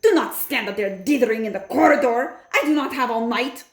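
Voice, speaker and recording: Woman putting on a Grand High Witch. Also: expressive, echoey room. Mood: imperious. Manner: snapping, commanding, drawn-out. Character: evil, imperious female witch leader.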